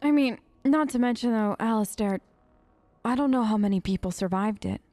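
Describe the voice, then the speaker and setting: A woman gamer role-playing her character's dialogue off the cuff in a hesitant, halting, conversational way. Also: a little high, dark tone, dry recording. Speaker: gaming let's player. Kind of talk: improvised in-character role-play of game dialogue.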